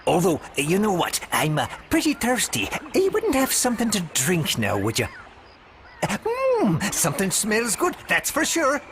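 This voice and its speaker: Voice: medium pitch, huge pitch swings, measured pace, noisy background. An actor voicing a laid-back, easygoing angler in a video game, with a lazy, hesitant delivery. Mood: pleased, relaxed. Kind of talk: video game cutscene acting.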